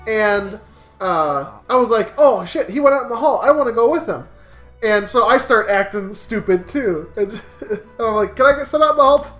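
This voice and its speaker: A man co-hosting a comedic let's play, chatting casually in a goofy, excitable way and laughing as he talks. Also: high voice, normal speed. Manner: goofy, animated storyteller, laughs through speech and bursts into shouts. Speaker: co-host of a visual novel let's play. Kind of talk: casual comedic banter while reading a game aloud. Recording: roomy echo with music behind.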